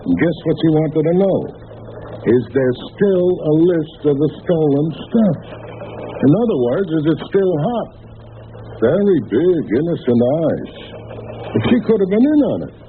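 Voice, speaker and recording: An actor playing a weary, suspicious police lieutenant in old-time radio drama, halting and matter-of-fact. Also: male voice, fairly high pitch. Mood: sly, suspicious. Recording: narrow, noisy old radio recording.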